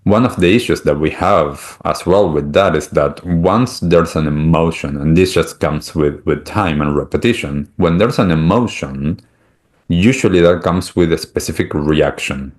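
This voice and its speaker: Low-pitched Argentinian man, reflective talk. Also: moderate pace. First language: Spanish. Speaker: podcast host. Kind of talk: reflective conversational interview talk.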